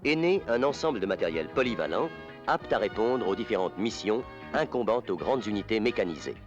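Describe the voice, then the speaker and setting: A man narrating an instructional film in a formal, scripted, measured documentary style. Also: fairly low pitch, animated, muffled recording. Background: music.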